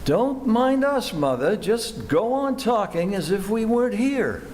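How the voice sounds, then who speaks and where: An amateur actor playing a snooty, sullen young son in an old-time radio comedy. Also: male voice, sits high, big pitch swings, unhurried, darkish tone. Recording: echoey room, faint noise.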